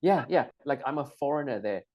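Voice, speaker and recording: A middle-aged man talks in a relaxed, halting way, full of ums and self-corrections. His voice is mellow and warm, sitting at an ordinary pitch for a man. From Hong Kong. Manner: hesitant, many ums and restarts, laughs easily. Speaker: co-host. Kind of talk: casual chat.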